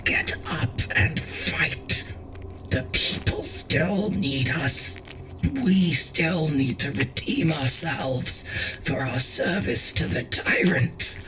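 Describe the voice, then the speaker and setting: A performer in a dramatic ASMR roleplay playing a grave, defiant warlord battling sickness, with halting, theatrical delivery. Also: medium pitch, distant mic, background noise, lightly acted. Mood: anguished defiance.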